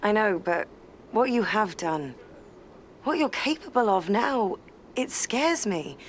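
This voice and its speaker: A woman's voice: mid-range voice, sing-song, slow pace, a little hiss or hum. An actress playing a frightened woman pleading with someone dangerous in a screen drama.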